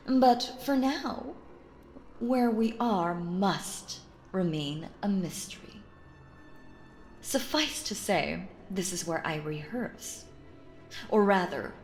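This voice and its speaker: A woman performs a sinister, possessive lady magician in an intimate audio drama. She speaks slowly and theatrically, cooing sweetly with breathy menace underneath. The voice rings in a roomy echo, with some music behind it. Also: fairly low pitch. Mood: secretive, grandiose. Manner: cooing endearments, slipping into breathy menacing whispers.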